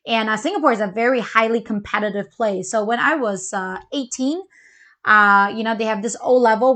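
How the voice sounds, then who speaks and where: A woman, co-hosting a casual parenting chat and telling personal stories earnestly. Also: a little high. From Malaysia. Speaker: co-host, parent.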